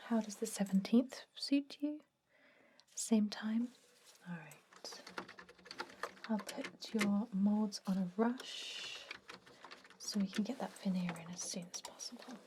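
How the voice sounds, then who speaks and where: A woman whispering an ASMR roleplay as a calm, businesslike vampire dentist, giving gentle, soft-spoken instructions. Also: medium pitch, takes it slowly, pauses often, noisy background.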